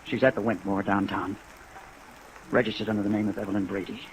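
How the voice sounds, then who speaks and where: A man acting a troubled, halting, bewildered man in love in a 1950s radio drama. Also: fairly low pitch, level tone, a little hiss or hum, natural voice, low-quality recording. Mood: anguished, bewildered. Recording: vintage radio recording, faint rain.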